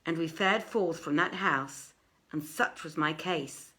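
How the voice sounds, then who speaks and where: A woman narrating an archaic tale as an audiobook reader, in a theatrical, emphatic and deliberate storytelling manner. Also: low voice, bright, forceful tone.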